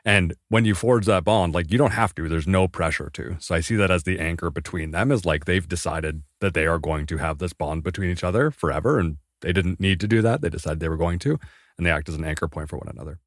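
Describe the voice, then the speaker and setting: Deep-voiced fast-talking man. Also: dry recording, clean studio sound. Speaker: podcast co-host. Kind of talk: casual book discussion.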